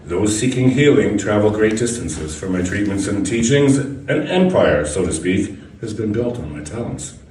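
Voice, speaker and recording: A man's voice: on the low side, expressive, normal speed, hardly any pauses, darkish tone. A man reading a first-person short story aloud as scripted narration, now and then stumbling over a word. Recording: echoey room, distant mic, background noise.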